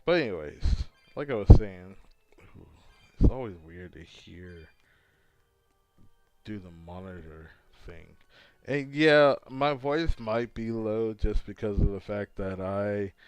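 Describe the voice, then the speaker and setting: A hungover man casually chatting with his livestream viewers as he sets up a game, rambling and halting. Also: lowish voice, clear, resonant tone. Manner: rambling, halting, talks to chat while fiddling with setup. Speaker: gaming livestreamer.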